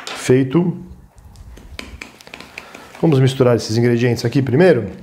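A man's voice: medium pitch, unhurried, mellow, a little hiss or hum. A man with a Brazilian Portuguese accent hosting a casual step-by-step cooking demonstration, informal and instructive, often adding little check-in tags. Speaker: cooking show host.

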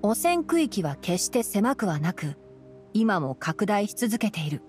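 A woman playing a young male forest ranger in a Japanese anime game, calm and studious, explaining things in a measured way. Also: medium pitch, faint music behind. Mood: calm, analytical. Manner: measured, matter-of-fact explaining.

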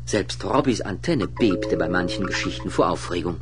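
A man's voice: low voice, lively intonation, moderate pace, music in the background. A man narrating a children's radio play in a dramatic storytelling style.

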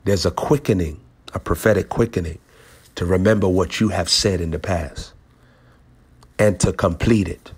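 A male preacher with a very low, husky voice delivers prophetic teaching. He speaks deliberately in short maxims. Key phrases come back a second time for emphasis. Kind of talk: prophetic teaching over a phone call.

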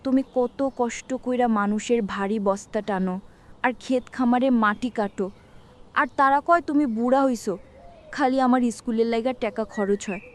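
A woman's voice: a little high, moderate pace, close to an everyday voice. A woman with a rural Bangladeshi accent playing a sensitive little village girl in a cartoon, childlike and plaintive. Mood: troubled. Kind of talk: cartoon voice acting. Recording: faint background noise with birdsong.

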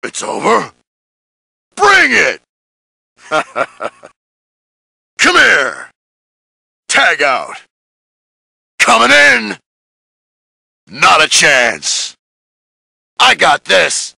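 A tough, burly wrestling brawler from a fighting game, full of swagger. His voice is raspy and hard-edged, swinging widely in pitch between taunts and battle grunts. It is recorded very dry and close. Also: wildly swooping pitch, very slow, pauses often, dry recording, exaggerated voice. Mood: cocky, taunting. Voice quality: gruff, raspy. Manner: shouted grunts and short cocky taunts. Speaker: video game voice actor.

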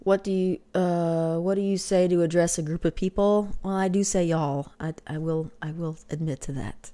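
A woman's voice: lowish voice, steady tone, normal speed, mellow. A middle-aged woman with a light Texas accent talking casually into a webcam at home, chatty and self-deprecating, with lots of fillers. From Texas, USA. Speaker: vlogger. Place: small home room with shelves, indoors. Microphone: webcam at desk.

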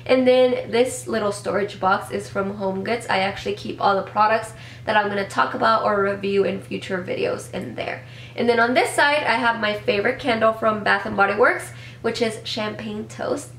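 A woman's voice: medium pitch, a bit bright. A young woman in her twenties reviews products in a loose, upbeat ramble, correcting herself as she goes. Her voice is a little congested from a cold. She is recorded in a small, echoey home room with a faint hum underneath. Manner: chatty, upbeat, rambling with fillers and self-corrections. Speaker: beauty vlogger. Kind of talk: casual product review to camera.

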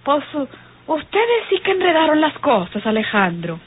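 High-voiced brash Mexican woman. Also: big pitch swings, normal speed. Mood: amused, familiar. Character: brash, bitter woman of the night streets. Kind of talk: old Mexican radio drama acting. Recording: tinny old radio recording.

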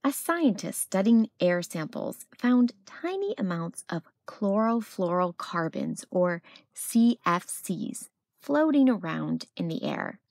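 A woman narrating a children's picture book as a read-aloud storyteller, with expressive delivery. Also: fairly low pitch, takes it slowly, no room sound, clean studio sound.

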